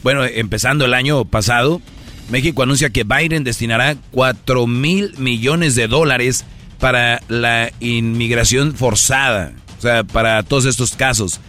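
A man's voice: medium pitch, measured pace, pushed and bright, faint music behind. A man with a Mexican accent chatting casually and with slang about news as a radio co-host. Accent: Mexican Spanish.

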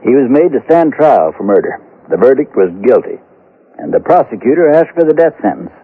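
Tinny old radio drama: grave, folksy Westerner, husky mid-range voice, measured delivery. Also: male voice, medium pitch, big pitch swings. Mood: sombre, reflective. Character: knowing, grave Westerner explaining a grim tale. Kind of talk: 1970s radio western acting. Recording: tinny, narrow-band vintage radio recording.

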